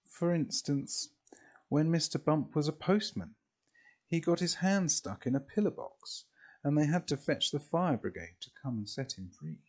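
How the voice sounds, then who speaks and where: A man reading a children's storybook expressively, in a deliberate way with drawn-out phrases. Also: on the high side, expressive, darkish tone.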